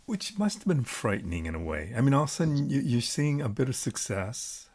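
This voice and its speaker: A man's voice: medium pitch, big pitch swings, fairly quick, darkish tone, breathy edge. A man hosting a podcast, asking follow-up questions and making brief, attentive interjections. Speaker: podcast host.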